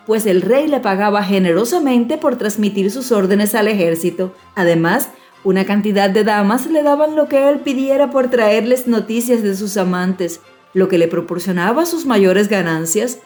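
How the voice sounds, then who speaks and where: A woman reading a classic fairy tale as an audiobook narrator, in scripted storytelling style. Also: mid-range voice. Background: soft music.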